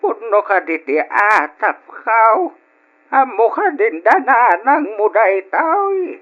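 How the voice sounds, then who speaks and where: An old grandfather with an Ilocano accent and a high, drawn-out voice. He is grave and concerned, in old-style radio drama manner. The sound is dull and lo-fi. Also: sing-song, full character voice. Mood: probing, concerned.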